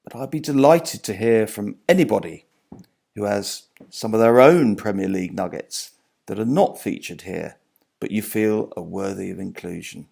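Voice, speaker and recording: A man with a heavily creaky, gravelly voice reads a scripted book passage aloud. His delivery is measured and articulate, broken into careful phrases that drop off at the ends. He sounds like an author presenting his own writing in a literary way. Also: on the low side, a little mellow.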